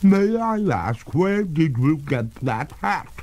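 Guy doing a posh lady ghost from a storybook, high slow voice, very dramatic and drawn out. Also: sing-song, darkish tone. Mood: haughty. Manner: formal, melodramatic, drawn-out delivery. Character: haughty female water ghost, pleading and threatening.

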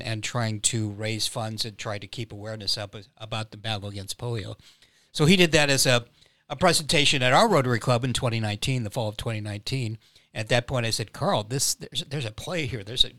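A man, a playwright guest, recounting a story in a measured way with small fillers. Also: medium pitch, pushed and bright, no echo. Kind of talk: storytelling in interview.

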